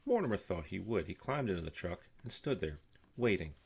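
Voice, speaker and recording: An older man reading a children's story aloud in an animated storytelling style. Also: deep voice, fairly quick, creaky, husky. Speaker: storybook reader.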